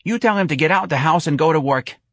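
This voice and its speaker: A man's voice: a little high, fast, dry recording, clean studio sound, pitched above the performer's own voice. A man voicing a doting, plaintive middle-aged working-class mother in folksy, ungrammatical speech for an audiobook. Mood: earnest, chatty. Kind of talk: audiobook character voice.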